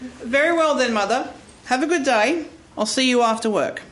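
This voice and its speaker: A woman's voice: high-pitched, normal speed, natural voice. A woman playing a meek, dutiful office clerk in a radio play, speaking politely and hesitantly. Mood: dutiful, worried. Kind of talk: stage radio play acting. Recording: roomy live studio, faint noise.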